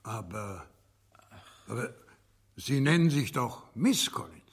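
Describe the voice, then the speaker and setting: An actor in a radio drama playing a calm, courteous male judge with quiet authority and a gravelly voice. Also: medium pitch, takes it slowly, full character voice. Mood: puzzled. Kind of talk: German radio drama acting.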